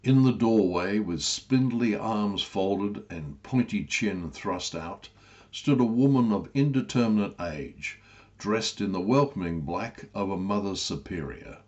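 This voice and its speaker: Slow, deep-voiced male storyteller. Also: expressive, a little mellow, highs cut off. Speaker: author reading own story. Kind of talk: scripted comic storybook narration.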